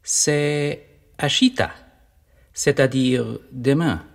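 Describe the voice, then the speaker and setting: A man's voice: medium pitch, slow pace, creaky. An actor playing a polite, deferential young Japanese male bank clerk in a radio play. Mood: polite, helpful. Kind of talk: radio play acting in French.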